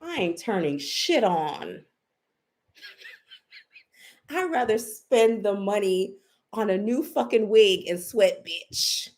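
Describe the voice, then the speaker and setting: A woman's voice: medium pitch, animated, takes it slowly, long pauses, a little mellow. A woman giving a casual psychic tarot reading, brash and profane, with a sassy, emphatic way of talking. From Haitian family. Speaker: psychic reader.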